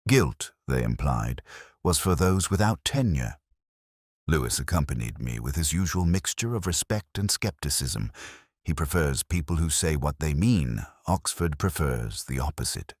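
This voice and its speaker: A man playing a brooding, literate police inspector, narrating a detective audio drama in a measured, solemn, reflective way. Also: a bass voice, no echo, studio quality, exaggerated voice. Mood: wry, observant.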